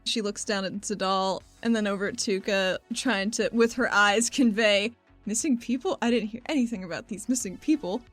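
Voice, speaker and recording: A woman's voice: mid-range voice, moderate pace, fairly bright tone, no room sound, soft background music. A woman playing a tabletop game, speaking in casual role-play and table chat with a polite, tentative manner.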